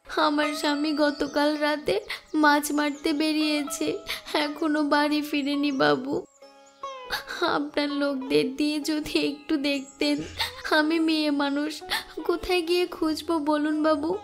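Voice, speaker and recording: A woman's voice: high-pitched, flat delivery, unhurried, soft background music. A woman playing a pleading village wife and mother in a horror cartoon. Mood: worried pleading.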